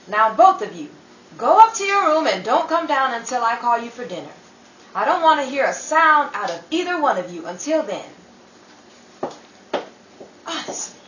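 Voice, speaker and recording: Shrill, frazzled 1930s housewife played by a woman. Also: a bit bright, echoey room, far from the mic, dull audio, slight background noise, heavily acted. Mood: angry, commanding, then suspicious, fretting. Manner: theatrical, exclaiming. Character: frazzled 1930s housewife and mother, exasperated, scolding. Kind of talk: 1930s radio comedy acting.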